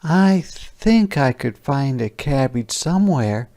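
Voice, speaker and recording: A man's voice: mid-range voice, lively intonation, slow, dark tone, pitched above the performer's own voice. A man voicing eager, good-hearted village peasants from a children's storybook in a simple, earnest way. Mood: helpful.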